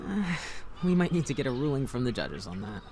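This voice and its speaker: A young fellow in an audio drama, kind and quick to put himself down. He talks in hesitant, rambling bursts at a middle pitch, with faint ambient noise behind him. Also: big pitch swings, mellow. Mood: sheepish, joking. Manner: rambling, hesitant, nervous chuckles. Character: James, self-deprecating, nervous, kind young man. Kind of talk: audio drama dialogue acting.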